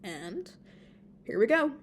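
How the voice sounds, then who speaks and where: A woman's voice: a little high, big pitch swings, slow. Lady doing a playful fae guy from a fanfic, breezy n fussy, teasing, slightly noisy homemade audio. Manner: breezy, chiding, instructing. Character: playful, teasing male fae student, fussing caretaker.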